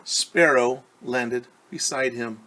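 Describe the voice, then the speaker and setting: A man reading a picture book aloud as a teacher, pausing to ask children questions and explain things simply. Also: mid-range voice, fairly even intonation, bright, forceful tone, a little hiss or hum, poor audio.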